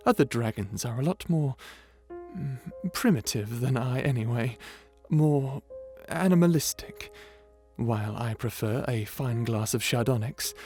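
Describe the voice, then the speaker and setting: Dragon butler, male actor: bashful, courteous, hesitant servant for ASMR roleplay, medium-pitched, faint music underneath. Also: darkish tone. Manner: stammering, hesitant, deferential, formally polite. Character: shy polite old dragon butler, male, eager servant.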